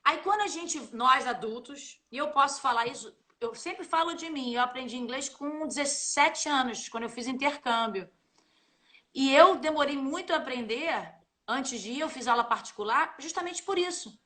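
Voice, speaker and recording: Animated high-voiced woman. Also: normal speed. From Brazil. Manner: animated, acts out little scenes with quoted voices. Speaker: guest English teacher. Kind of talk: casual live chat.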